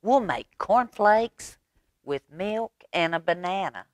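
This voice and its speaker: A woman's voice: fairly low pitch, expressive, takes it slowly, darkish tone, dry recording. A woman voicing a bossy, eager little big sister from a picture book in an emphatic voice. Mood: planning, eager.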